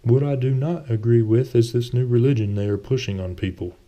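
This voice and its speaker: A man's voice: mid-range voice, fairly quick, highs cut off, lightly acted. A man voicing a pious, wealthy older man in a storybook reading, earnest and persuasive.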